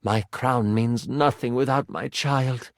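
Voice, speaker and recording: A man voicing a proud, anxious queen in a fantasy game, speaking formally and with measured authority. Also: medium pitch, fairly even intonation, creaky, dry recording, clean studio sound, pitched below the performer's own voice. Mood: proud, commanding. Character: proud anxious queen pleading for her child, regal and magical.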